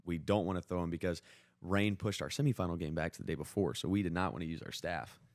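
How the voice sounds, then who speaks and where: A man's voice: deep voice, fast, a little mellow, no echo, studio quality. A young American man telling sports stories in casual, slangy talk peppered with "like," as a podcast co-host. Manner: informal, slangy, heavy use of 'like'. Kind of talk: casual sports chat, storytelling.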